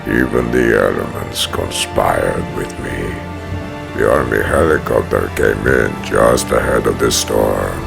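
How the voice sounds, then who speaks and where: A man playing a huge, menacing, obsessed prison-bred villain in a comic dub, narrating with slow, grandiose deliberation in a rumbling, gravelly voice. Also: music in the background, heavily acted. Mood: triumphant. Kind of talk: dramatic first-person comic dub narration.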